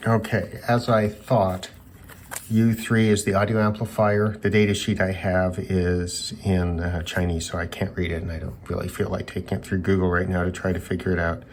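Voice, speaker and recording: A man's voice: low-pitched, flat delivery, normal speed, a little hiss or hum. A man giving unscripted commentary as a hobbyist taking electronics apart, musing and tentative as he thinks aloud. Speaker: hobbyist reviewer of radios.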